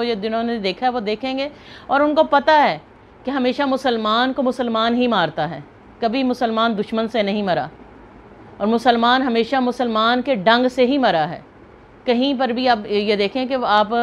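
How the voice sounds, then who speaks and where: Pakistani woman commentator, muffled noisy recording. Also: fairly high pitch. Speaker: video host and commentator. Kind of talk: unscripted opinion commentary to viewers. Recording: muffled lo-fi, clear background noise.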